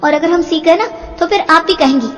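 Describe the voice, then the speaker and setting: A woman's voice: very high-pitched, fairly quick, fairly bright tone, dull audio, soft background music. A woman voicing a feisty young daughter-in-law who complains with wounded pride in a moral-story cartoon. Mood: defiant. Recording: long hall-like reverb.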